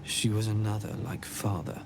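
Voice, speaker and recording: An actor voicing a menacing young alien boy in a video game, zealous and wounded, speaking in a cold, moralizing, deliberate way. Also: lowish voice, little pitch movement, background noise, close to an everyday voice.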